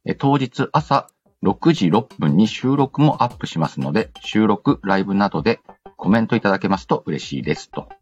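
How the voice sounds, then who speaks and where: A man's voice: fairly low pitch, expressive, normal speed, breathy edge, highs cut off. A man hosts a casual radio-style show, reading listener messages aloud and adding playful quips. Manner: playful quips and retorts to what is read. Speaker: host of an audio show reading listener letters.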